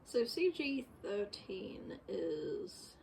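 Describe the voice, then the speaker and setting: A woman giving casual, unscripted commentary while working on screen, rambling and halting as she goes. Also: medium pitch, very animated, creaky, a little mellow, a little hiss or hum. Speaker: game developer recording a work-in-progress video. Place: bedroom, indoors.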